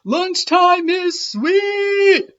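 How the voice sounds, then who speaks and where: A woman's voice: very high voice, extremely slow, muffled recording, pitched above the performer's own voice, heavily acted. A woman voicing an exuberant, well-meaning young boy shark in a children's storybook, with eager exclamations. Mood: excited, loud. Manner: bellowing, over-excited exclamations.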